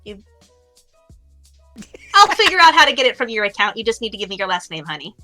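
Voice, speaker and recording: A woman voicing a squeaky, sassy, money-minded young female hacker and streamer in an improvised tabletop game. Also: big pitch swings, quick pace, bright, forceful tone, faint music behind. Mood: breezy, sassy. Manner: sassy, calls people hun and honey.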